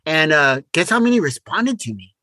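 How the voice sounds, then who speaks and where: A middle-aged man co-hosting a podcast in casual conversation, blunt and joking in an animated way. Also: fairly high pitch, normal speed, no echo, studio quality. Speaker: podcast co-host.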